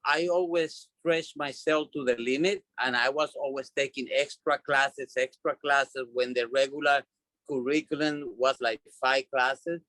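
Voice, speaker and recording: A man's voice: mid-range voice, pushed and bright, no room sound, rough recording. A man from Puerto Rico with a Puerto Rican Spanish accent, chatting as an interview guest, repeating and restarting words as he tells his story. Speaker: guest, doll designer. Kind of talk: casual interview chat.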